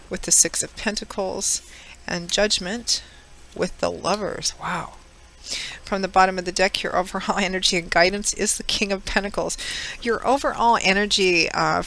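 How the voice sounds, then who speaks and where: A woman giving a chatty tarot reading, her voice hoarse and a little froggy from a cold. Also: sharp tone, slight background noise, low-quality recording. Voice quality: slightly froggy, squeaky. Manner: chatty, with many fillers. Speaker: tarot reader. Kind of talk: casual monthly tarot reading.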